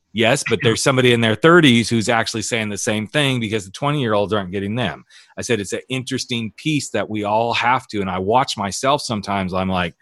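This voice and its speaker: A middle-aged man with a low voice chats as host, candid and animated. His stories slide into the voices of the people he quotes. The sound is close and dry, with no room around it. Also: no echo. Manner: candid, animated storyteller who acts out quoted dialogue. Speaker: podcast host and author. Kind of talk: casual conversation. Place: US West Coast.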